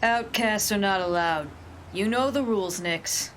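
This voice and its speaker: A woman's voice: mid-range voice, unhurried, a bit bright, slight background noise, lightly acted. A woman voicing a blunt, dismissive bouncer at a bar door. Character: surly bouncer guarding a shifter bar.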